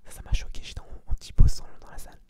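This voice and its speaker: Young guy whispering asmr, breathy, slangy and casual, giving his opinions. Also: racing. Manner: slangy, crude, informal. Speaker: ASMR video creator giving a film review.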